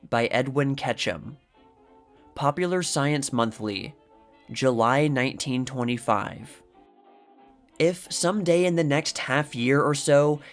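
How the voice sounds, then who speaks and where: A man narrating from a script, reading a magazine article aloud. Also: medium pitch. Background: music.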